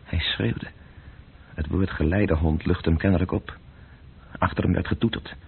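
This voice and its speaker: A man playing a sober, detached storyteller in a radio play, narrating in terse, measured phrases with a husky voice. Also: low voice, steady tone, exaggerated voice. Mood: sober, observant. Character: sober male storyteller, detached observer of city evening. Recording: muffled phone-like old radio recording.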